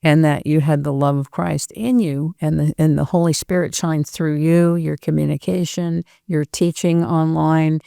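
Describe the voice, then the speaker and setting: Gravelly interviewer, mellow and dry. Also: medium pitch, little pitch movement, normal speed, few pauses, no echo. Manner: warm, admiring, speaks in long flowing sentences. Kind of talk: conversational interview.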